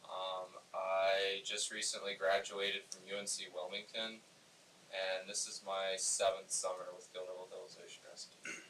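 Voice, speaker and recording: A man's voice: low voice, monotone, measured pace, sharp tone. A man in his twenties from coastal North Carolina, a lifeguard, introducing himself at a public meeting. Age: 20s. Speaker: ocean rescue lifeguard. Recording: distant mic, faint hiss.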